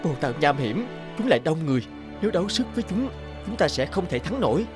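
A man's voice: on the high side, sing-song, fast. A man playing a brave, earnest young husband and hero in a cartoon fairy tale, speaking with resolve. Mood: resolute. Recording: music under the voice.

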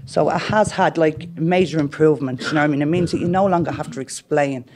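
A woman's voice: sits low, quick pace, a little mellow, a little hiss or hum. A woman with a Dublin accent speaking at a conference panel, nervous and conversational, with many fillers, into a handheld mic in a meeting room. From Ireland. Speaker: panel speaker, community development worker. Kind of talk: panel presentation. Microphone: handheld microphone.

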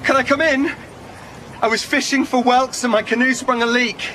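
A man's voice: very high voice, steady tone, moderate pace, noisy background. An actor in a comic radio play, playing a stranded, persistent male fan with an earnest, eager manner. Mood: pleading, eager.

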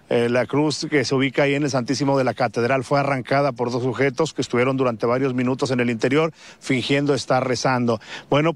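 A middle-aged man from Mexico, reporting live from a street, speaking off the cuff with fillers and restarts. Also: mid-range voice. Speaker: field reporter. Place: outdoors on a residential street. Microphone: handheld mic.

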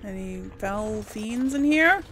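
A woman's voice: mid-range voice, animated, slow, fairly bright tone, a little hiss or hum. A woman chatting casually through a game playthrough, thinking aloud to herself as she plays. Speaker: gamer hosting a role-play playthrough.

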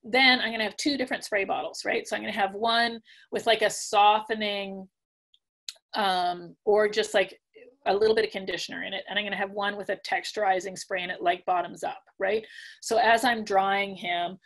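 Woman teaching dog grooming, a little hoarse. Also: mid-range voice, fairly even intonation, normal speed, a bit bright. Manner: chatty, many ums and likes, practical. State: losing her voice, clears throat. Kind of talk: casual webinar teaching, answering questions. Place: home room. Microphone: laptop webcam video call.

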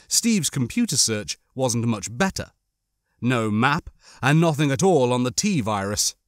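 A man's voice: fairly high pitch, a bit bright, no room sound, studio quality. A man narrating a horror novel as an audiobook, reading a dramatic scripted story.

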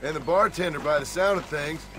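A man's voice: a little high, a little fast, close to an everyday voice. A man playing a stubborn, cool-headed Old West gunslinger in a video game, terse and sardonic, with an American accent. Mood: resolute. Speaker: gunslinging lawman. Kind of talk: dramatic video game acting.

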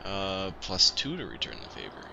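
A man's voice: low voice, wildly swooping pitch, normal speed, vocal fry, lo-fi sound, background noise. A young man hosting a casual let's-play video, wry and barking playful orders at the characters, with chiptune music and a shower going in the background. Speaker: gaming video host. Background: chiptune music playing and a shower running.

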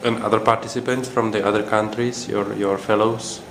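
Low-voiced male interviewer, roomy sound. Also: little pitch movement, moderate pace. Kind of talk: interview questions. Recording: roomy sound with background hum.

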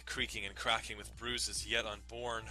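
A man's voice: on the low side, little pitch movement, normal speed, bright, forceful tone, faint music behind. A man giving a dramatic scripted narration of a visual novel. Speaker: narrator doing dramatic readings.

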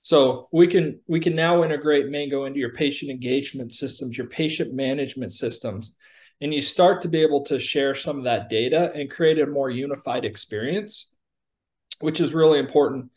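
A middle-aged American man speaks on a video call as an executive being interviewed. He explains his product in a conversational pitch, step by step, often tagging points with a checking "right?". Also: moderate pace, darkish tone. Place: indoor room, on a video call.

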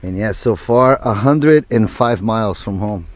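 Slow-talking man vlogging in the desert. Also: lowish voice. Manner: relaxed, unhurried running commentary. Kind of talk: casual vlog narration. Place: outdoors in open desert.

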